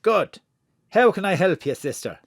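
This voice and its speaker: A woman's voice: on the low side, big pitch swings, moderate pace, no room sound, clean studio sound. A woman playing a secretive, conspiratorial member of a secret society in an audio drama, speaking cryptically. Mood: guarded.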